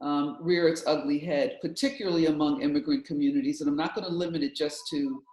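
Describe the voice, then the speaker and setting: A man moderating a panel discussion, posing questions haltingly with many repeated words. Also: fairly high pitch, steady tone, darkish tone, slight background noise. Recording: echoey room.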